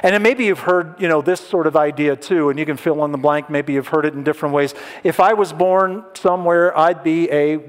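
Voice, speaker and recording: A pastor giving a conversational sermon, asking the audience questions and making light jokes. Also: male voice, on the high side, fairly even intonation, fairly quick, few pauses. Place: large hall with an audience. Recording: long hall reverb.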